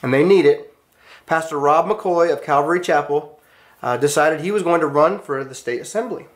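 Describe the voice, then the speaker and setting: Man, American accent, class teacher, slightly high voice, earnest, emphatic, storytelling lecture style. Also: moderate pace.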